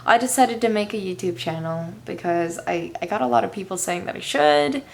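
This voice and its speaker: A young woman with a raspy voice, answering viewer questions casually in her room, tired and hesitant with a self-deprecating manner. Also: medium pitch, expressive, a little fast, a little mellow, slight background noise. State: tired with a headache. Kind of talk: casual Q&A chat.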